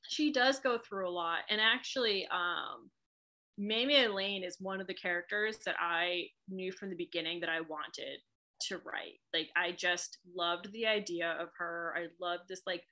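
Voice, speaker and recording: This is a woman from New England with a bright, sharp-edged voice, chatting easily as an author guest. She talks in loose, rambling runs full of fillers and quick laughs. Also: lowish voice. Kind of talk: casual chat.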